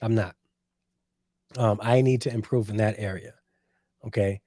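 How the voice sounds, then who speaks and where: A man's voice: lowish voice, fairly even intonation, a little slow, dark tone, no room sound, studio quality. A man in his forties hosting a casual livestream, reading chat and answering with playful, teasing banter. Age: 40s. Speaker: host reading live chat.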